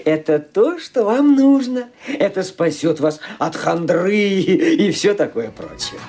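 An actor playing an eager, ingratiating young nephew in a radio play, smooth-talking and coaxing. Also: male voice, sits high, lively intonation, takes it slowly, a little mellow, soft background music, heavily acted. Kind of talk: Soviet-era radio play acting.

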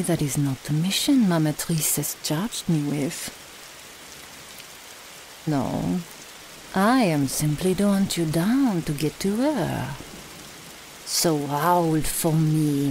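Woman acting slow, low-voiced theatrical vampire mistress. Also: darkish tone, a little hiss or hum, rough recording. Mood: mocking, menacing. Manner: slow, theatrical, sprinkles French phrases. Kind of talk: audio roleplay drama acting.

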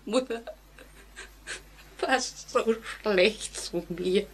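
An actress playing a terrified, guilt-ridden woman in an old radio play, speaking haltingly with melodramatic anguish. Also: mid-range voice. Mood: bitter. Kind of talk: 1940s German radio play acting. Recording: noisy old 1949 radio recording.

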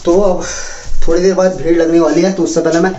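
Young male hill-village vlogger, relaxed chatty talk to the phone camera, slight noise behind. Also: fairly high pitch, fast talker, dull audio. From Uttarakhand hills, India. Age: young adult. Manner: chatty, keeps calling viewers brother. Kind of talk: casual vlog talk to camera. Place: village house and yard, indoors and outdoors. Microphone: phone camera held at arm's length.

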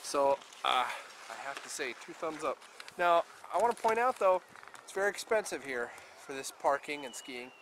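A man's voice: fairly high pitch, lively intonation, moderate pace, fairly bright tone. A man in his forties, a father filming his family at a small snowy ski hill, talking in a chatty, upbeat way and coaching a child along. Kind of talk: casual home video commentary. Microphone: handheld camcorder at arm's length. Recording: noisy outdoor camcorder audio.

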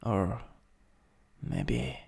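Man acting slow, gravelly Italian-accented vampire master. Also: on the low side, huge pitch swings, darkish tone, exaggerated voice. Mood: teasing menace. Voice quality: breathy, husky, gravelly. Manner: menacing, drawn-out, condescending. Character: cruel, mocking male vampire master taunting his servant. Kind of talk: improvised ASMR roleplay, slow and partly whispered. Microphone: close USB microphone.

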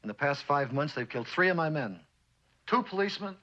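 An actor playing a cynical, bossy former army captain turned police chief with an American accent, in mid-century suspense drama style. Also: male voice, a little high, big pitch swings, brisk, pushed and bright. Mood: grim, intent. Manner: needling, bossy. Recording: dull, lo-fi old drama soundtrack.

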